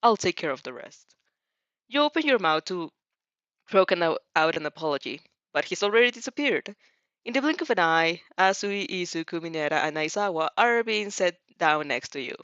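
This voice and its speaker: A woman's voice: lowish voice, expressive, moderate pace, fairly bright tone, no echo, lo-fi sound. A woman reading a story aloud expressively as an amateur, often stumbling and rereading phrases. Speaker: fanfiction audiobook reader.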